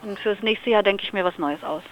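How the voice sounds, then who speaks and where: Chatty woman from Lower Saxony. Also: brisk. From Lower Saxony, Germany. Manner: chatty, thinking aloud with fillers. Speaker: interview guest. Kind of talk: relaxed radio interview answers. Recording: slightly dull radio recording.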